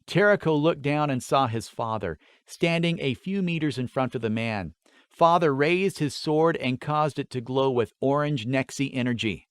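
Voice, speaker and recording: A man's voice: medium pitch, moderate pace, clean tone, dry recording. Guy reading a fantasy audiobook, serious and dramatic, super dry recording. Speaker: audiobook narrator. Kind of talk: scripted fantasy story narration.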